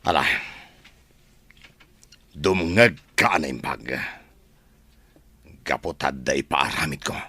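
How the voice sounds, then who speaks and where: A man acting as a vengeful, menacing politician in a radio-style drama. The voice is husky and forceful, with big swings as he threatens and commands. It is recorded close and dry. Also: very deep voice, animated, takes it slowly, pauses often, dry recording, heavily acted. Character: bitter, menacing male politician plotting revenge. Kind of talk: Ilocano audio drama acting.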